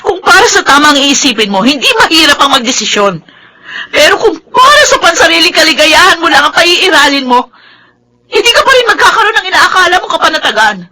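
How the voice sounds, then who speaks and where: An actress playing a hurt, reproachful wife in a Filipino radio drama, with a Filipino accent and intense emotion. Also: female voice, very high-pitched, sing-song, brisk, bright, forceful tone, exaggerated voice, poor audio. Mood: tearful anger. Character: hurt, angry pregnant wife confronting her cheating husband.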